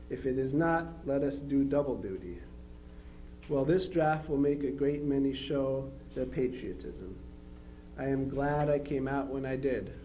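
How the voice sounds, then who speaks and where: A man's voice: medium pitch, warm tone, slight background noise, natural voice, low-quality recording. A man playing a dutiful young Civil War soldier, reading his letters home aloud. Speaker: amateur reader in a historical society dramatization.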